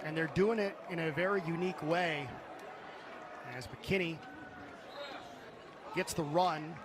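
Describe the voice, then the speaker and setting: A man's voice: fairly high pitch, unhurried, noisy background. A man calling live football play-by-play as a sports commentator.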